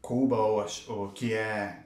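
A man's voice: fairly low pitch, level tone, takes it slowly, roomy sound, noisy background. A man hosting a casual interview, reading out viewer questions with curious, chatty interest. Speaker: host.

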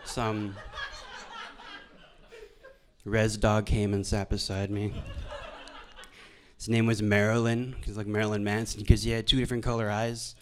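A young man from Alberta, doing stand-up storytelling on a stage microphone in a deadpan, halting, self-deprecating way. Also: on the low side, slight background noise. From Alberta, Canada. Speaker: stand-up comedian. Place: live venue stage before an audience.

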